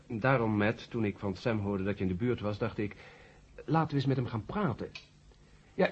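An actor playing an earnest, courteous ballistics expert who explains hesitantly in a radio drama. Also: male voice, fairly low pitch, slight background noise, lightly acted. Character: earnest male ballistics expert, courteous, troubled but open-minded. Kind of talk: 1970 Dutch radio drama acting. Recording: muffled old radio drama tape.

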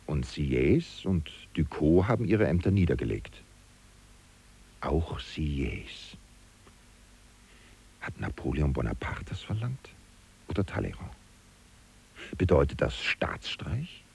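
A man playing a loyal, disillusioned political aide who muses and questions aloud to the listener in a German radio drama, with a gravelly voice. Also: sing-song, slow, long pauses, a little mellow, heavily acted. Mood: wryly indignant. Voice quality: gravelly, very deep. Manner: musing, chatty, rhetorical questions. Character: loyal, disillusioned male aide to a fallen politician, wryly indignant.

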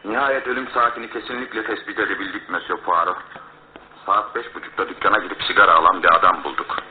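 An actor playing a methodical, cautious police inspector in a radio drama, reporting in a formal, measured way. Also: male voice, deep voice, natural voice. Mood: matter-of-fact. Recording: phone-like lo-fi radio broadcast, faint noise.

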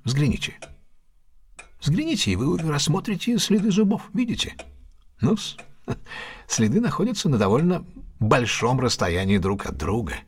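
An actor playing a confident, ironic detective with a husky voice in a Russian audio drama, speaking in a deliberate, theatrical, questioning manner. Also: male voice, on the high side, very animated. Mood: keen, playful.

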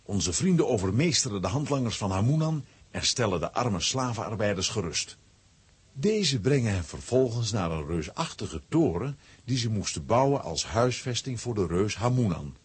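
The storyteller of a children's audio adventure. His voice is of medium pitch and very breathy-rough. The scripted narration moves with lively intonation. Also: normal speed, full character voice. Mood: storytelling.

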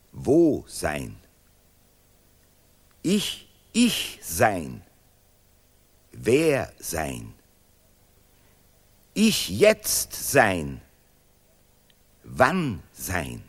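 A self-important professor in an experimental radio play, declaiming in broken German. His pitch leaps extravagantly and his delivery is slow and repetitive. The voice rings in a long, hall-like reverb. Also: wildly swooping pitch, husky, exaggerated voice. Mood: solemn self-praise. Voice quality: deliberately broken, ungrammatical German. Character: pompous self-praising history professor and Nobel laureate. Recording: reverberant hall-like studio sound.

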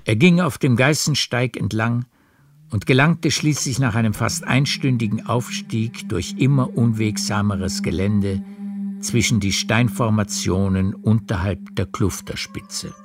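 A male narrator reads literary prose for a radio drama in a low, gravelly voice. His delivery is measured, and faint music plays behind him. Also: expressive. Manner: measured storytelling.

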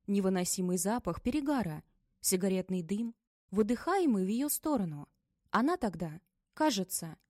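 Mellow-voiced woman reading an audiobook. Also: medium pitch, no echo, clean studio sound. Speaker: audiobook narrator. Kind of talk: scripted audiobook narration.

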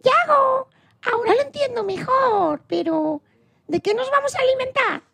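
Squeaky, creaky, excitable crocodile. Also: female voice, normal speed, dry recording, full character voice. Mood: surprised, puzzled. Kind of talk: children's radio play.

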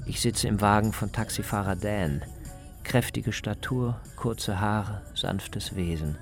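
A man's voice: lowish voice, slow pace, soft background music. A man narrating a scripted radio travel feature as its reporter.

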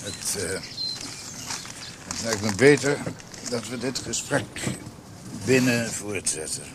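A guarded father in a radio drama, with a low voice and stiffly formal, hesitant phrasing. There is clear noise behind him. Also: animated, slow pace. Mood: reserved. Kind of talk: Dutch radio play acting.

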